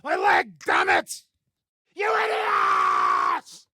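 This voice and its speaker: Gravelly, swaggering mercenary gang leader. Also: male voice, extremely high pitch, wildly swooping pitch, extremely slow, pushed and bright, dry recording, raised voice, studio quality, heavily acted. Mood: furious shouting. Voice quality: raspy, gravelly. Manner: brash, sardonic, commanding, swearing freely. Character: foul-mouthed, swaggering, gruff male mercenary gang leader. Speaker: video game character voice actor. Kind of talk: game dialogue, coarse medieval banter and battle shouts.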